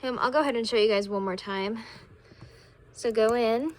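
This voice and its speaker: Tired, raspy young woman teaching a craft. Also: on the high side, normal speed, a little hiss or hum. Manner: relaxed, talks while working with hands. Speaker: craft tutorial creator. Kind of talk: casual step-by-step tutorial.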